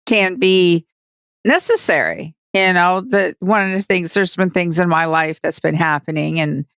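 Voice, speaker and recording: Smooth, clear American woman co-host. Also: on the low side, a little slow, fairly bright tone, no room sound, studio quality. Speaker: podcast co-host. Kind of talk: casual discussion.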